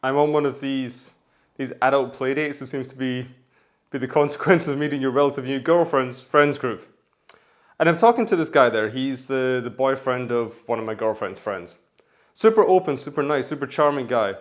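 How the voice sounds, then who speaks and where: A man with a hoarse voice from a recent flu talks through his menswear vlog. His pitch sits in the middle range, and his delivery is chatty and rambling, full of little restarts and asides. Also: moderate pace. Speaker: menswear vlogger. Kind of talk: casual vlog talk.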